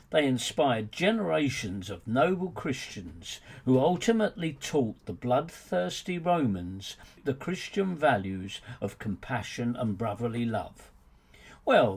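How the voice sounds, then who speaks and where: A man narrating a scripted polemical audiobook as its author, with sardonic, mocking emphasis and deliberate, theatrical phrasing. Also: mid-range voice, slightly rough. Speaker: author reading own book as narrator.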